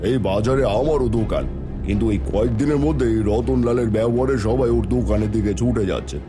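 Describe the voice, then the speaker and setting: A man voicing a jealous, greedy village shopkeeper with a husky voice in an animated moral tale. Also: medium pitch, brisk, music in the background, natural voice. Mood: indignant, jealous.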